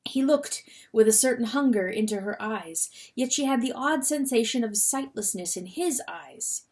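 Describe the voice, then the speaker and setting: A woman's voice: a little high, moderate pace. Woman narrating an animal tale dramatically. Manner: expressive, suspenseful delivery. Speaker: audiobook reader.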